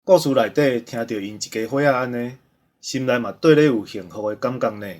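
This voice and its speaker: A man with a Taiwanese accent, hosting radio with a grandiose, earnest sales pitch. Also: fairly quick, darkish tone, a little hiss or hum. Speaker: radio host pitching a product.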